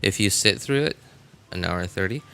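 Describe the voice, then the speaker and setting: A man with a fairly low voice that is bright and very clean. He talks off the cuff like a live game streamer chatting with viewers, circling back and repeating words in a halting ramble. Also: animated, vocal fry, clear, resonant tone.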